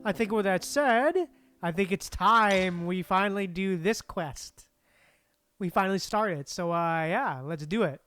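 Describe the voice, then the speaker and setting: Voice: medium pitch, a little slow, a bit bright, smooth, dry recording. A streamer giving casual, laid-back commentary while playing a video game. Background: game music.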